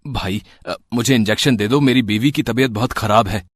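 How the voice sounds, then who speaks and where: A man's voice: lowish voice, brisk, slightly rough, no room sound. A man playing a poor, desperate husband who pleads, in an amateur moral story.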